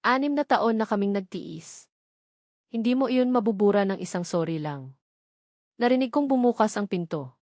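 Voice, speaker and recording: A woman's voice: medium pitch, expressive, moderate pace, long pauses, darkish tone, no echo, clean studio sound. A woman with a Filipino accent reads a scripted first-person dramatic story in short, earnest, sentimental phrases. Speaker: story narrator.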